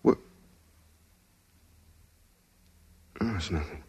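A man's voice: fast talker, a little mellow, natural voice. An American man playing a desperate, hard-boiled driver held at gunpoint in a 1940s radio drama, with a wry, pleading manner. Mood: subdued, weary. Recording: noisy 1940s radio transcription, engine rumble.

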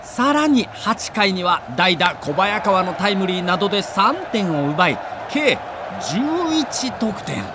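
A man's voice: high voice, background noise. A man narrating a sports documentary in a theatrical, emphatic storytelling manner.

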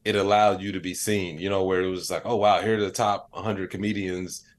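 A man's voice: low-pitched, little pitch movement, fairly quick. A man speaking as an interview guest in casual, reflective conversation, peppering his talk with "like" and "you know". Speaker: podcast guest, comedian.

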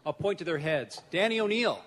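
A man with a high-pitched voice hosts from a stage, chatting loosely and wandering into asides. The recording has a long hall reverb, with an audience and plenty of noise around him. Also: expressive, a little fast. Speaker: event host introducing a cast. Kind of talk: casual off-the-cuff stage introductions. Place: large church hall, on stage. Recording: noisy echoing hall with audience. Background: audience and room noise.

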